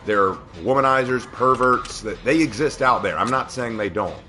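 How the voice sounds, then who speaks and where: Slightly hoarse man streaming a game. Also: medium pitch, moderate pace, sharp tone, soft background music. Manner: talkative, opinionated, laughs at the game. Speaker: livestreamer. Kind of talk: casual gameplay commentary.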